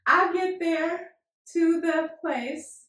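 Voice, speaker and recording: A woman co-hosting a podcast as a family therapist, telling stories in a lively way and laughing often. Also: extremely high pitch, very slow. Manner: animated storyteller, laughs often. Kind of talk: casual podcast chat. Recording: echoey room.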